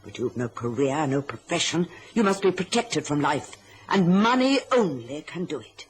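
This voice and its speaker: A woman's voice: low voice, very animated, moderate pace, slight background noise. An actress playing a scheming, middle-aged mother in an old radio drama, imperious and silky in manner. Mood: cold, determined. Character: scheming, domineering mother with a sweet, coaxing front.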